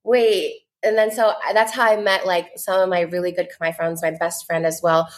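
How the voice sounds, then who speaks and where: Chatty young woman, lowish voice. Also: fairly even intonation, normal speed. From United States. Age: 20s. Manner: chatty, many "like" fillers, earnest. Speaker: podcast guest, content creator. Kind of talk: casual interview talk.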